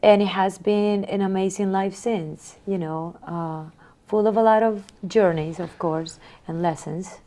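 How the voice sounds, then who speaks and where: A woman in her forties from Honduras, answering interview questions in a soft, reflective way with small repeats. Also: mid-range voice, steady tone, unhurried. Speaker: guest.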